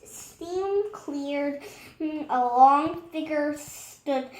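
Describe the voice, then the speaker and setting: Storybook reader: woman, high voice, unhurried and stumbling delivery, roomy echo. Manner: halting, stumbling over words and correcting herself. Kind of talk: storybook read-aloud with hesitations and acted dialogue. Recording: echoey room.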